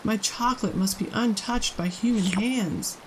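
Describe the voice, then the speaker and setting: A woman's voice: mid-range voice, normal speed, slight background noise, pitched above the performer's own voice, natural voice, rough recording. A woman voicing a fussy, agitated male chocolate maker in a storybook, pleading and exclaiming. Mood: anxious pleading. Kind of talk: storybook character voice.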